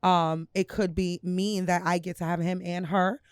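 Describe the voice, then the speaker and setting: Candid woman in her thirties, low and dry. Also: flat delivery, moderate pace, clear, resonant tone, no echo. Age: 30s. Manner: candid and drawling, with frequent laughs. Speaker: podcast co-host. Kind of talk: casual chat.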